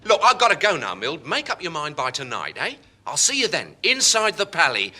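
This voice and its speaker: Bossy English working-class lad, piercing bright voice, animated, impatient, colloquial, radio comedy. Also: male voice, on the high side, brisk, full character voice. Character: pushy, bossy working-class boyfriend of the secretary. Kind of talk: British radio sitcom acting.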